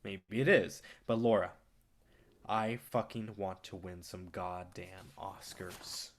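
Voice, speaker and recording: A man's voice: low voice, fairly even intonation, takes it slowly. A man acting in a staged play reading as a brash, cocky film distributor, swaggering and persuasive with plenty of profanity. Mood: pushy, persuasive. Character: brash, cocky, foul-mouthed male film distributor, smooth manipulator.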